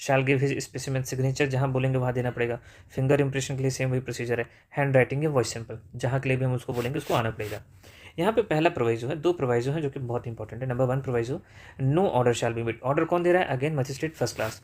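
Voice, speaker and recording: A man with an Indian English accent walks through a legal provision like a lecturer. He poses a question, then answers it himself, switching between slow, deliberate reading of the text and quick asides. His pitch sits in an ordinary middle range. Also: fairly even intonation, darkish tone. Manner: explains step by step, asks and answers his own questions. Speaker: law lecturer. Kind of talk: explanatory legal lesson mixing Hindi and English.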